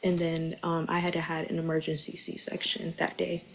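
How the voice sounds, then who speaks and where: A woman's voice: deep voice, monotone, normal speed, gravelly, a little hiss or hum, rough recording. A young woman giving testimony as a witness in a courtroom, speaking in casual, informal wording.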